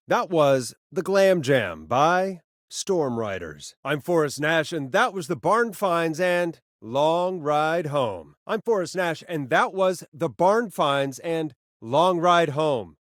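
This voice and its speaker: Game role: a cheeky male late-night rock DJ, medium-low voice, highly animated, theatrical patter, dry close sound. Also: slow pace, hardly any pauses, no echo. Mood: showy, upbeat. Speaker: radio host. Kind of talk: radio DJ patter and game voice lines.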